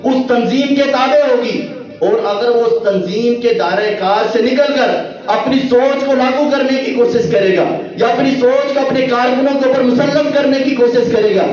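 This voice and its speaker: A man's voice: very high voice, normal speed, darkish tone. A young man from Pakistan speaks to a convention audience, explaining his points through repeated parallel phrases and moving his hands as he talks, into a stand mic at a podium. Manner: gesturing with both hands while speaking. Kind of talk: lecture to an audience. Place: indoor convention hall with banner behind. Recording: very echoey hall, distant lo-fi mic.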